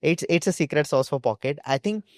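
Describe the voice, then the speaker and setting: A man's voice: a little high, a little fast, no echo, clean studio sound. A man with an Indian accent, a startup founder answering conversationally in an interview.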